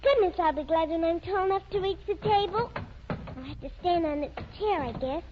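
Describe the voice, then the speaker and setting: Very high-pitched little girl, old radio. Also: moderate pace. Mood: eager. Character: eager, wheedling little girl. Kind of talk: 1930s radio commercial skit acting. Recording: muffled, noisy 1930s radio recording.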